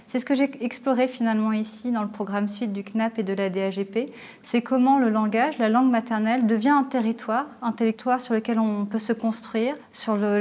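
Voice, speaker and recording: A woman whose first language is Italian, an artist thoughtfully explaining her exhibition and pausing often to find her words. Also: a little high, a little mellow. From Italy. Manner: thoughtful, searching for words with hesitations. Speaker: visual artist.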